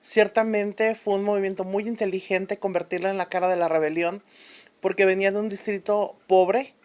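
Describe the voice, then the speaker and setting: A woman playing an authoritative, unsentimental historian interviewed in a radio drama, explaining events in a measured, deliberate way. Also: medium pitch, darkish tone, close to an everyday voice.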